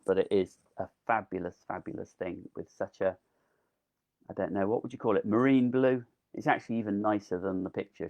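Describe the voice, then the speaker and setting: A man's voice: on the low side, vocal fry, a little mellow. A man giving a casual, enthusiastic live online gardening talk, full of ums, as his voice wears thin. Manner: chatty, enthusiastic, with frequent ums. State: losing his voice. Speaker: delphinium grower giving a talk.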